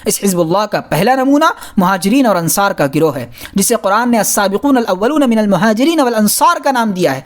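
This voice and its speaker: A woman reading a scholarly religious audiobook in a measured, formal manner. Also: medium pitch, expressive. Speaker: audiobook reader. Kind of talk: scripted narration of a scholarly religious book.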